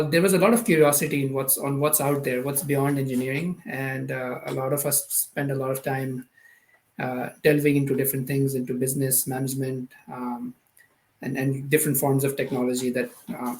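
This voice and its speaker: A man's voice: medium pitch, slight background noise, poor audio. Hesitant Pakistani man telling his story. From Karachi, Pakistan. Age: 30s. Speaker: podcast guest, product manager. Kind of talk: casual interview storytelling.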